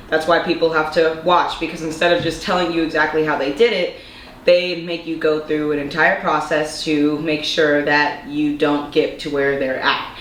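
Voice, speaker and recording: A woman's voice: low-pitched, fairly bright tone. A young woman vlogger, a little congested, talking earnestly to camera in a casual monologue and throwing out rhetorical questions. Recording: echoey room with faint noise.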